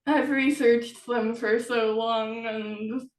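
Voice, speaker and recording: Woman, casual conversational author, higher voice, slow halting delivery, trails off; roomy, distant recording. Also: steady tone. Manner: halting, trailing off mid-thought. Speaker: author and interview host. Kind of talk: casual chat. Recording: echoey room, distant from mic.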